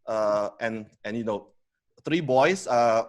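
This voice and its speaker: Halting man from Indonesia, full of fillers. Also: mid-range voice. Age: 30s. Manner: hesitant, many fillers and restarts, laughs easily. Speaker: podcast guest, company co-founder. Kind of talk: casual interview storytelling.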